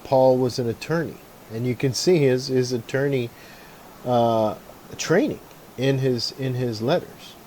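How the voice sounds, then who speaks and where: A man's voice: mid-range voice, a little slow, warm tone, slight background noise. A man talking casually and reflectively about religion, rambling with many fillers and restarts. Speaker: video maker. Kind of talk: casual talk to camera.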